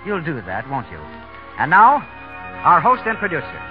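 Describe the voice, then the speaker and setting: A man's voice: fairly high pitch, huge pitch swings, moderate pace. A man with an American accent announcing and selling on a 1930s radio show. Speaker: commercial announcer. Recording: dull 1930s radio recording, faint music.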